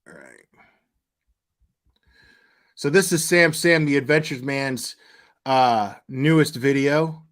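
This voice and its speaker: A man's voice: medium pitch, slow, pauses often, fairly bright tone. A man hosting a live reaction stream with casual commentary, speaking bluntly and informally with some swearing.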